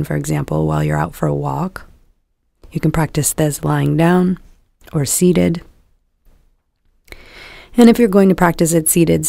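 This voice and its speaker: Calm woman leading a guided meditation, really creaky mellow voice, soft guitar music behind her. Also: sits low, no echo. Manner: calm, soothing, measured delivery with soft phrase endings.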